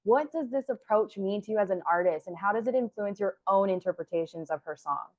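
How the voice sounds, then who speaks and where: A woman's voice: medium pitch, expressive, a little fast, no room sound. A woman interviewer asking careful, polite questions and affirming her guest. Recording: dull, lo-fi dry audio.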